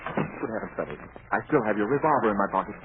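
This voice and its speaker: An actor playing a prim, bashful professor who talks formally and hesitantly, in a 1940s radio comedy on a noisy old recording. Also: male voice, lowish voice, expressive, fast talker, phone-quality sound, lightly acted. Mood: concerned, polite.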